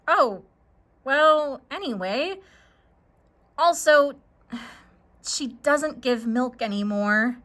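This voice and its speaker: A woman acts out a young storybook farm girl, high and bright in voice. She is earnest, anxious and hesitant, with a plaintive lilt that jumps around. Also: lively intonation, drawn-out words, long pauses, full character voice. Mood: glum, hesitant.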